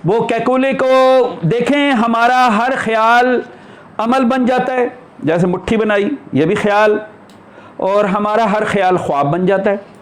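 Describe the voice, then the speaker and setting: A man's voice: sits high, a little slow. A man teaching a class in a conversational religious discourse, explaining and posing rhetorical questions. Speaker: spiritual teacher lecturing a class.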